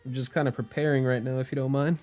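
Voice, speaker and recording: A man's voice: medium pitch, level tone, quick pace, a little mellow. Casual man livestreaming over music. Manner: informal, swearing. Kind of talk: casual gaming stream commentary. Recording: music behind the voice.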